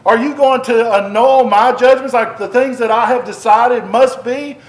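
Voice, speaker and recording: High-voiced man preaching. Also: normal speed. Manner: conversational, repeats and restarts, rising emphasis on questions. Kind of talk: sermon, Bible teaching. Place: church building. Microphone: microphone.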